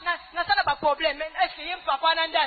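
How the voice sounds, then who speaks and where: A woman preacher with a Congolese accent gives an emphatic, scolding church testimony in short phrases, pausing for interpretation. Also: very high-pitched, fairly even intonation. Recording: tinny phone-like band, loud noise.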